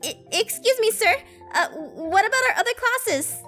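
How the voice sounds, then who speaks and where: Animated series: excitable, awkward teen girl, very high and lively, stammers and giggles. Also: normal speed, soft background music, exaggerated voice. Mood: eager, giggly. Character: shy, excitable freshman high school girl, gamer and anime fan. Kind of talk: animated roleplay voice acting.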